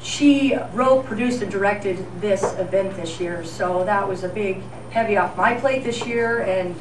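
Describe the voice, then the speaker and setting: A woman's voice: medium pitch, measured pace, noisy background. A woman giving an informal thank-you speech as the director at a community event. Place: large indoor room with an audience, voice from far off. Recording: echoey crowded hall, distant mic.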